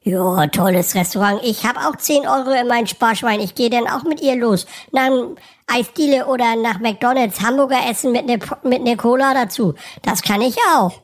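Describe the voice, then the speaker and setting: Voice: medium pitch, moderate pace, close to an everyday voice. Eine Sprecherin spielt in einem Comedy-Sketch einen frechen, angeberischen sechsjährigen Jungen, der plappert und vor Aufregung stottert. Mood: trotzig. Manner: chatty, cheeky, stammers when excited. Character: cheeky boastful six-year-old boy.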